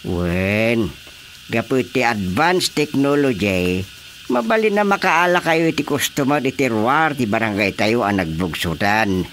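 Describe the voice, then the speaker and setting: A man's voice: medium pitch, measured pace. A man acting an authoritative, orderly village chairman in an Ilocano radio drama, emphatic and official, with an Ilocano accent. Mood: approving, instructive. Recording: steady background noise.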